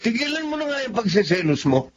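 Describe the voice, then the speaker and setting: A man's voice: very high-pitched, big pitch swings, fast. A man acting a stubborn, troubled husband in a Filipino radio drama, with a curt, defensive manner. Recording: noisy, dull radio-drama audio.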